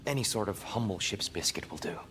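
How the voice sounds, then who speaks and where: An actor playing a broken, humble giant god in a video game, speaking gently and haltingly as a weary former captive. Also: male voice, on the low side, expressive, big-room sound, slight background noise. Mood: meek, humble. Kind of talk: dramatic video game acting.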